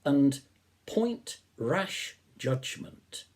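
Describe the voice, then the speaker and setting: A man in his seventies reading poetry aloud in a deliberate, expressive way, with a soft-edged, slightly husky voice. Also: mid-range voice. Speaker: poetry reader, reverend. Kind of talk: measured poetry reading with introduction. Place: small living room or study, indoors.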